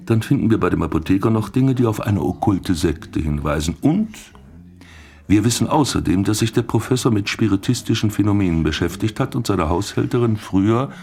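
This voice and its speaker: An actor playing a brooding, puzzled police commissioner in a radio play, husky and thinking aloud. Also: male voice, lowish voice, lively intonation, fairly quick, darkish tone. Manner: muttering, thinking aloud with exclamations.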